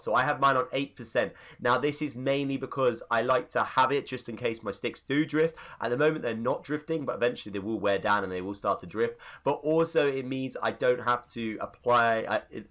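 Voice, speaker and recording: Gaming YouTuber, male, bright tone, bunged-up nose, rambling tutorial delivery. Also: mid-range voice. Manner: chatty, rambling asides. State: congested from a cold. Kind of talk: casual settings tutorial voice-over.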